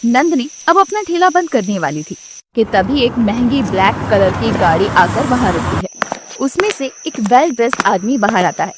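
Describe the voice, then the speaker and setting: A woman narrating a storybook cartoon in an even, storytelling manner. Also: a little high, heavy background noise.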